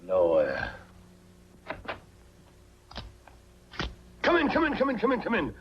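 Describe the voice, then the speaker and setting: An actor playing a cantankerous, domineering old rich grandfather with a creaky voice, in 1940s film style with an American accent. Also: sits high, wildly swooping pitch, fast talker, exaggerated voice. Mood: stubborn, commanding. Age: older. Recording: dull, noisy 1940s film soundtrack with hum.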